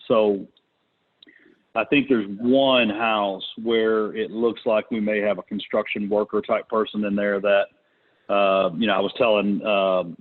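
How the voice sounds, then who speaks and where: A man talks through a thin, phone-like connection as host of a business call. His delivery is casual and unscripted. He pauses to think as he goes. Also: lowish voice. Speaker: conference call host, real estate investor. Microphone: conference call connection. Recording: muffled, narrow phone-line sound.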